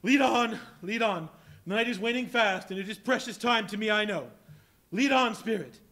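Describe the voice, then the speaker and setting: Older miser on stage played by a man, fearful and earnest, high voice. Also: normal speed, a bit bright. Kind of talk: live stage play. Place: large hall with audience.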